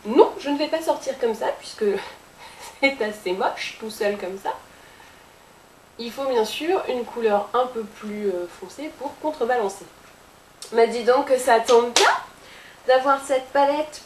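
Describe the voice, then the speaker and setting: A woman giving a casual, playful makeup tutorial with a chatty manner. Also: fairly high pitch. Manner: chatty and playful, with sound effects and jokes. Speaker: beauty vlogger. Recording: echoey room with faint hiss.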